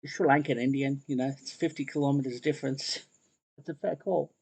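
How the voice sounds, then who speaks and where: A man's voice: medium pitch, fairly even intonation, moderate pace, gravelly, a little mellow, husky. A man chatting casually to his viewers while he cooks on a live stream. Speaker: cooking livestreamer. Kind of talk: casual live cooking chat.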